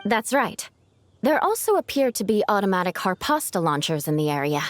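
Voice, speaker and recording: Confident young swordswoman for a game acted by a woman, mid-pitched, animated, politely competitive. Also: fairly quick, no echo, lightly acted. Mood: gracious. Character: confident, sporting young female knight swordfighter. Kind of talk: video game voice acting.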